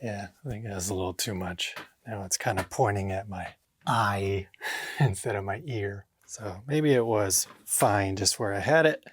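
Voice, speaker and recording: A man's voice: on the low side, unhurried, slightly rough. A man narrating a hands-on vlog casually, thinking aloud and talking to himself with short exclamations. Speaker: home theater DIY vlogger. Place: indoor home theater room with drop ceiling.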